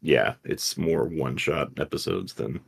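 Deep gravelly laid-back man. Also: unhurried. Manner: laid-back, short agreeing replies. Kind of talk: casual chat.

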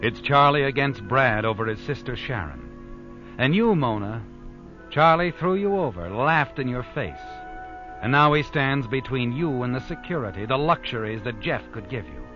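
An actor playing a sly, taunting narrator of a 1940s radio mystery, insinuating with pointed questions. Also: male voice, mid-range voice, lively intonation, normal speed, a bit bright, natural voice. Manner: insinuating second-person address, rhetorical questions. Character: sly, taunting narrator voicing a schemer's thoughts. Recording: muffled old radio broadcast. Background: dramatic music.

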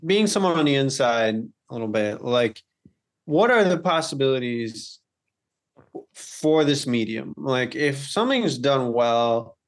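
A man's voice: mid-range voice, expressive. A man hosting an interview in a casual, conversational way, rambling and often restarting his phrases. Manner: rambling, halting, repeats words and trails off. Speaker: interview host.

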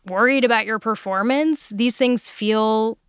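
A woman's voice: on the high side, measured pace, clear, resonant tone, no room sound. A woman podcast host in a studio, talking candidly and thoughtfully, laughing easily. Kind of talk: casual studio chat. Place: recording studio. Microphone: studio microphone.

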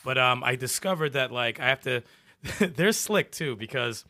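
A man's voice: medium pitch, moderate pace, no room sound, studio quality. A man co-hosting a casual gaming podcast, telling stories in slangy, profane talk. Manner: profane, animated storytelling with slang. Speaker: podcast co-host.

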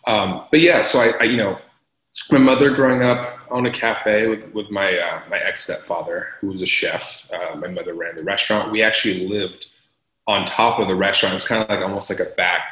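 A young man from Los Angeles talks in a very deep voice with a bright, forceful edge. He chats casually as an interview guest, laughing often and leaning on fillers. The room sounds echoey around him. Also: fairly even intonation, brisk. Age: young adult. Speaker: entrepreneur guest.

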